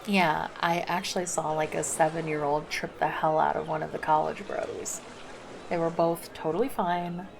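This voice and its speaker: A woman's voice: low voice, fairly even intonation, moderate pace, creaky, noisy background. A woman voicing a laid-back, flirty female lifeguard in an ASMR roleplay, chatting casually and teasing. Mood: easygoing.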